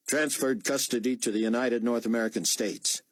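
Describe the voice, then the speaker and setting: A man voicing a brisk man who gives curt commands while quietly helping a prisoner. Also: steady tone, no echo, close to an everyday voice. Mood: gentle. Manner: curt, commanding, low-key. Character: brisk male journalist posing as an officer, secretly kind.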